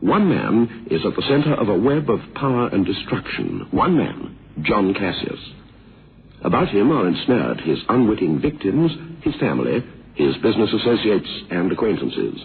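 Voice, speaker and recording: A man doing measured, dramatic scripted announcing for a radio drama series in a portentous manner. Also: on the low side, huge pitch swings, gravelly. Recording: tinny, phone-like old radio recording. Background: music.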